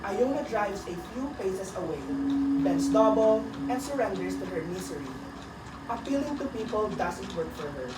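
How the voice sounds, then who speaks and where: A woman narrating a radio drama in a deliberate, solemn storytelling manner, reading a dramatic script. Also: medium pitch, animated, natural voice. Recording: echoey, distant, very noisy.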